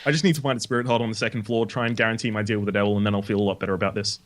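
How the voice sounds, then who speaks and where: A man's voice: lowish voice, steady tone, rapid-fire. A young Australian man, host of a gaming video, explaining item mechanics in casual chat and swearing now and then. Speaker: let's play host.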